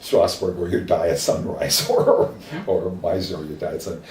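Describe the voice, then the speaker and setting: An older man with a Midwestern accent, recalling his acting training in an oral history interview, reflective and halting with many fillers. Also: sits low, level tone, a little mellow. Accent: Midwestern American. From American Midwest. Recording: roomy distant mic with faint noise.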